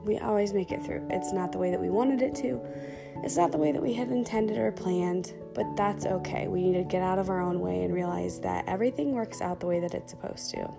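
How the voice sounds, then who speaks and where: A woman hosting a podcast, talking softly through a calm guided self-talk session, her voice scratchy from a sore throat. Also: on the low side, a little fast, vocal fry, music in the background. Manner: soft-spoken, chatty with fillers. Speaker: podcast host. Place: dark room at home under blankets.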